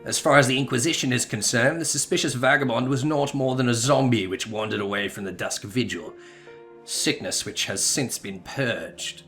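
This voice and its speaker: A man voicing a weary, wry knight investigator from a video game, speaking in a measured, dry, formal manner. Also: medium pitch, a bit bright, close to an everyday voice. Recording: music behind.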